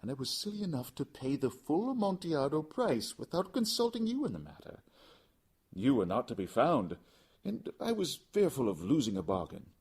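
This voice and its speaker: A man's voice: medium pitch, sing-song, normal speed, darkish tone, breathy edge, voice pushed up. A man voicing a vengeful nobleman in a gothic storybook, theatrical and falsely solicitous as he coaxes a friend. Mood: sly baiting. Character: vengeful nobleman feigning warm friendship, sly and coaxing.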